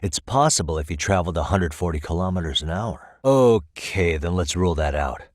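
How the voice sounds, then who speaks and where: A male actor voicing a composed, determined private detective in a video game, with a measured, probing delivery. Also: low voice, no echo, studio quality, close to an everyday voice. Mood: focused, determined. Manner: measured, probing, matter-of-fact. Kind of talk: English video game dialogue dub. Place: dry recording studio.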